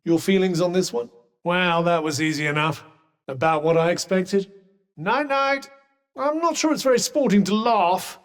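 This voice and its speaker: A man's voice: high voice, normal speed, long reverb tail, natural voice. An actor playing a wry, teasing male magic cuff in a game, speaking in a well-spoken, sardonic way. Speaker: game companion character. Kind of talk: game voice lines.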